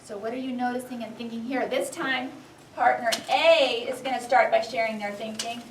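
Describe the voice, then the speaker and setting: A woman teaching young children, reading a storybook aloud expressively and giving clear, animated instructions. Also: a little high, moderate pace. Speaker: first-grade teacher. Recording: echoey classroom, distant, background noise.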